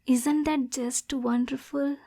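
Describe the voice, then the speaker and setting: A woman's voice: sits high, fairly even intonation, slow pace, mellow, full character voice. A woman playing an obsessive, lovesick demon girl in an ASMR roleplay, clingy and possessive, addressing the listener directly. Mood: tender, sorrowful. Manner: breathy, clingy, swinging between tender and domineering.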